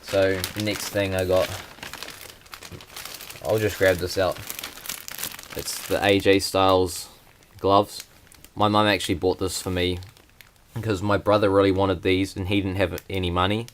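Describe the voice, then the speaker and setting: A man's voice: deep voice, little pitch movement, unhurried, a little hiss or hum. A young man, talking hesitantly with many fillers in a casual vlog. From New Zealand. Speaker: vlogger.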